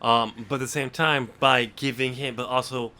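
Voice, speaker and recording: Bright-voiced man, halting casual talker. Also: medium pitch, little pitch movement, clean tone. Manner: halting, often restarting sentences mid-thought. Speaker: podcast host and novelist. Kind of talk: casual book discussion.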